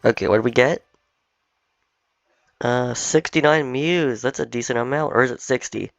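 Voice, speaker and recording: A slightly hoarse man chatting casually as he plays a game, thinking aloud as he goes. His voice has a gravelly edge. The dull, dry home recording carries faint game music underneath. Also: mid-range voice, moderate pace, no room sound. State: slightly hoarse, recovering from illness. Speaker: gaming video host. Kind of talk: casual let's-play commentary.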